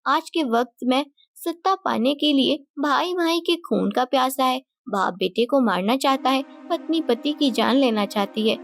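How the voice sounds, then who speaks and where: A woman's voice: high voice, a little slow, vocal fry, few pauses, a little hiss or hum. A woman voicing a scheming, vengeful queen in a cartoon moral story, accusing and theatrical in manner. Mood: cold, mocking. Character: vengeful, scheming young queen, cold and mocking. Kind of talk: dramatic Hindi moral-story cartoon dialogue. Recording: loud music bed behind voice.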